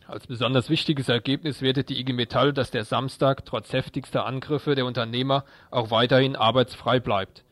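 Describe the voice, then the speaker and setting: A man's voice: medium pitch, fairly even intonation, brisk, few pauses, a bit bright, dry recording. A man reading scripted news reports as a radio reporter.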